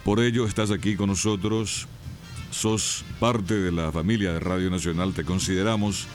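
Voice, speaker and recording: Male radio host, very low voice, measured delivery, faint music bed. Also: fairly even intonation. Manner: measured, warm praising speech. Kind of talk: radio interview.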